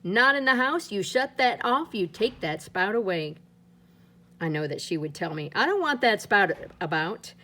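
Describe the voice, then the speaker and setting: A woman's voice: expressive, moderate pace, bright, forceful tone. Woman reading a kids' storybook. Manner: lilting, playful read-aloud delivery with lots of pitch swings. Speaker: storybook reader. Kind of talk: reading a children's picture book aloud.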